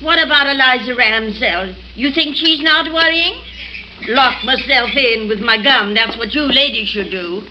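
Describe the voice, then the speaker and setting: A piercing, high-voiced old small-town lady, folksy and scolding. She speaks emphatically, in 1950s radio drama style, with faint noise on the recording. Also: a little slow, bright, forceful tone, rough recording. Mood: scolding alarm. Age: older.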